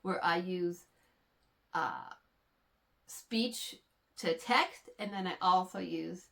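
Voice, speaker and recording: A woman's voice: lowish voice, takes it slowly, vocal fry, fairly bright tone. A woman knitting vlogger talking casually to her viewers, explaining things patiently with frequent fillers and a slightly congested sound. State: somewhat congested from allergies. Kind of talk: casual talk to camera.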